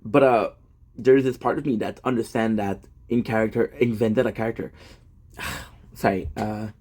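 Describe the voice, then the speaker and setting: Husky rambling man in his thirties. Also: on the low side, fairly even intonation, moderate pace, a little mellow. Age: 30s. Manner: rambling, self-deprecating, many fillers and restarts. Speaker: gaming content creator. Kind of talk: casual rambling monologue.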